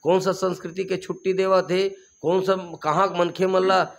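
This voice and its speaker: Chhattisgarhi guy, kinda high voice, fired up, repeats himself building to a point. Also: little pitch movement, moderate pace, gravelly. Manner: fervent, repetitive rhetorical build-ups, rising emphatically on key points. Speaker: activist leader being interviewed. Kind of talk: impassioned political interview answers.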